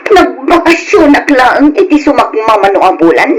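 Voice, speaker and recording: Very high-voiced, wronged Filipino wife. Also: female voice, sing-song, normal speed, noisy background. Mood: bitter, accusing. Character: wronged young wife, bitter, accusing and emotional. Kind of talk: old radio drama acting.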